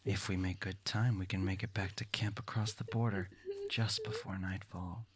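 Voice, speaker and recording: A man's voice: sits low, steady tone, normal speed, husky. A man playing a cocky, callous hunter in an audio drama, curt, bossy and sneering. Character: cocky, callous male human bounty hunter. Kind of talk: amateur audio roleplay drama.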